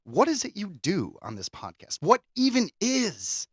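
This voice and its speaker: A man chats casually as a podcast co-host with a playful, theatrical way of telling things. His voice sits a little high and moves around with lots of animation. The sound is close and dry. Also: wildly swooping pitch, unhurried, fairly bright tone, breathy edge, dry recording. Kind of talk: casual comedic podcast chat.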